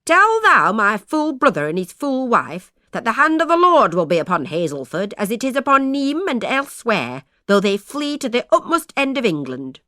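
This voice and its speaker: A woman's voice: on the high side, big pitch swings, normal speed, few pauses, sharp tone, no room sound, studio quality, voice pushed up. A woman voicing a fierce, accusing spinster aunt who speaks in old-fashioned words. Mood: scolding. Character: shrill scolding spinster aunt, older woman.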